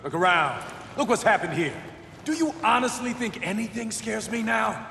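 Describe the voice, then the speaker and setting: A man's voice: high-pitched, expressive, normal speed, gravelly, bright, forceful tone, full character voice. A man playing a defiant, embittered human in a video game, confrontational and agitated. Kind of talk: video game cutscene acting. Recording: reverberant game mix, faint ambient noise.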